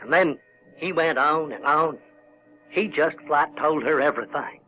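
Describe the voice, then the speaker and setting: A man's voice: a little high, measured pace, lightly acted. A man playing a folksy rural Southern boy who tells his adventures in an audio drama. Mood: reflective, folksy. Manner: folksy, colloquial. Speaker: narrator in character. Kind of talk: in-character storytelling, audio theater. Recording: dull 1970s recording with music.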